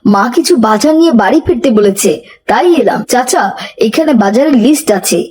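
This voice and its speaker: A woman's voice: on the high side, animated, moderate pace, a little mellow, a little hiss or hum, close to an everyday voice. A woman voicing a dutiful, earnest and polite schoolboy in a cartoon. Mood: polite, hurried. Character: dutiful, polite schoolboy running errands for mother.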